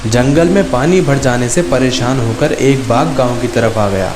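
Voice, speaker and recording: A man's voice: medium pitch, moderate pace, reverberant, music in the background. A man narrating a scripted children's story as a storyteller.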